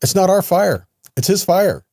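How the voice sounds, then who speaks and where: A man's voice: high voice, very animated, moderate pace, darkish tone, no echo, studio quality. A man teaching a sermon in an earnest, explanatory way, reading scripture and stressing points with rhetorical questions. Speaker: preacher and Bible teacher.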